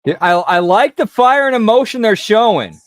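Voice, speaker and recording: A high-pitched man with a smooth, clean voice keeps up loose, easygoing chatter over a live stream. He often ends his lines with "pal." The sound is close-miked and dry, with no room sound. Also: lively intonation, normal speed, no echo. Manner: laid-back, tacks "pal" onto his remarks. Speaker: host of a wrestling watch-along stream. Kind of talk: casual live commentary over a broadcast.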